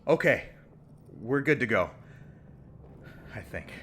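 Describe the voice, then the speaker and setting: An actor playing a nervous, joking older-brother ship pilot. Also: male voice, medium pitch, very animated, a bit bright, slight background noise. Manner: nervous, joking, fast-talking bluffs. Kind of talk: fan audio drama acting.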